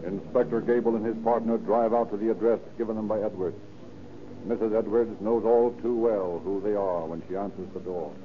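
A man playing the grave American narrator of an old-time police radio drama, formal and measured in his storytelling. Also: lowish voice, steady tone, close to an everyday voice. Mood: grave, dramatic. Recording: dull vintage radio, loud music behind.

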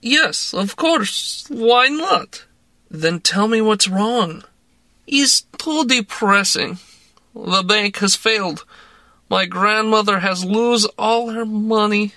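A man reading an audiobook voices a drunk young Russian actress with a Russian accent, speaking slow broken English in a dramatic, moody way. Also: high-pitched, bright, forceful tone, no room sound, voice pushed up, exaggerated voice. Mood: mournful. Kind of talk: audiobook character voice.

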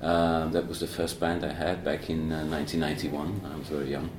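Deep-voiced man chatting, roomy room. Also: little pitch movement, fairly quick, darkish tone, a little hiss or hum. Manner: hesitant, many fillers and restarts, joking. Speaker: band member and guest. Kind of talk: casual interview chat. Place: university student coffee room.

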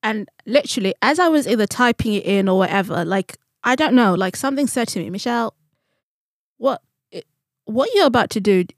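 A woman's voice: fairly high pitch, animated, brisk, no echo, studio quality. A woman from Zimbabwe co-hosting a Bible study podcast in casual conversation, acting out remarks and asking rhetorical questions. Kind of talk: casual conversational religious discussion.

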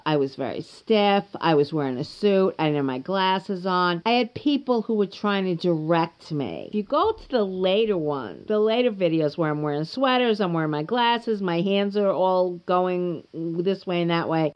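A woman's voice: on the low side, highs cut off. Chatty stuffed-up woman podcasting solo. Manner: chatty, candid, self-deprecating. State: stuffed up with a sore throat. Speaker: podcast host. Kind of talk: casual solo podcast monologue. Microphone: home podcast microphone.

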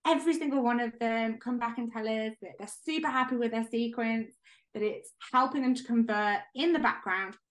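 A woman co-hosting a podcast in casual, enthusiastic chat, her voice going hoarse as she is losing it. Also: a little high, fairly quick. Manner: enthusiastic, chatty. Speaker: podcast co-host.

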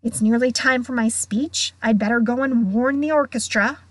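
A woman voicing a proud, courteous gentleman ghost in a storybook reading. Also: fairly high pitch, normal speed, no room sound, natural voice. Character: proud, genteel adult male ghost host.

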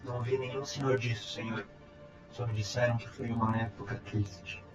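A man playing a calm, courteous robot time traveler in an audio drama, speaking in formal, measured reports. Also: mid-range voice, natural voice. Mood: puzzled, courteous. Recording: echoey, dull amateur sound, music behind.

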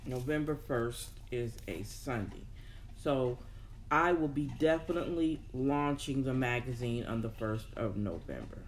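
The speaker is a host talking casually and motivationally to followers. The voice sits at a medium pitch. The delivery is informal, thought out aloud, with words repeated to drive a point home. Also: animated, unhurried, slight background noise. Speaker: host of a personal development show. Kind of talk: casual motivational talk to an audience.

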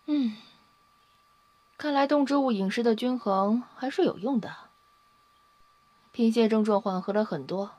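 A blunt, inquisitive vampire scientist from an audio drama, played by a woman. The voice sits on the high side, very dry and close, with a clinical, matter-of-fact curiosity. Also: a little slow, long pauses, dark tone, dry recording. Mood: clinical concern. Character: nosy, clinical vampire-race scientist Lanka, coolly curious.

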